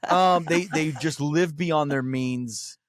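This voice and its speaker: A man's voice: on the high side, slow, a crowd in the background. A man co-hosting a podcast with casual comedic banter, jokey, irreverent and theatrical. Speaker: podcast co-host.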